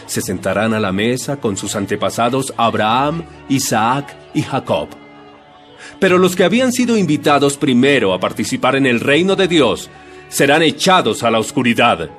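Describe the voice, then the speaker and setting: A man's voice: medium pitch, big pitch swings, moderate pace, a bit bright, faint music behind. An actor playing a commanding, compassionate male teacher and healer in an audio Bible drama, speaking in a solemn, emphatic, declamatory way. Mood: solemn, forceful.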